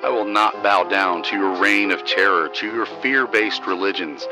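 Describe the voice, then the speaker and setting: A man hosting, reading scripted material in a declamatory, deliberate way. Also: lowish voice, over music. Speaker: host. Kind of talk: scripted news narration and poem reading.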